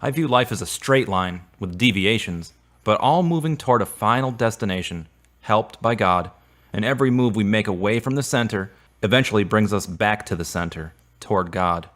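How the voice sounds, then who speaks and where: A man playing a concerned, devoted male friend with a gentle, earnest manner in a radio drama. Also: lowish voice, animated, moderate pace.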